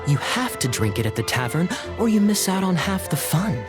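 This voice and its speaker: A man's voice: sits high, very animated, moderate pace. An actor playing a sly young nobleman knight who needles his brother with sarcasm. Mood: teasing. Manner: mocking, sarcastic. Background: game music.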